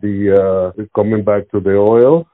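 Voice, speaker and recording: Halting Venezuelan man on a phone-like line. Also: on the low side, fairly even intonation, no echo. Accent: Venezuelan Spanish. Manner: halting, searching for words, repeats himself. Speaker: interviewee. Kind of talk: spontaneous interview answers. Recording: tinny narrowband phone-like line.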